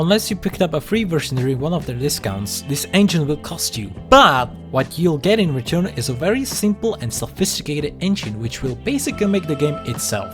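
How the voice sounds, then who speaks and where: Animated man narrating a sarcastic comedic video. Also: fairly high pitch. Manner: sarcastic, self-deprecating, theatrical. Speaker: YouTube creator and host.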